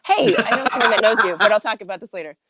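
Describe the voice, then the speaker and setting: A woman's voice: medium pitch, racing, over a noisy crowd. A woman, an actress on a cast panel, chatting casually with a playful, teasing, giggly manner.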